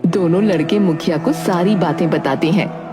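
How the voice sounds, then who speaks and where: A woman's voice: on the low side, moderate pace. Woman narrating a children's story over music. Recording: prominent music bed under voice.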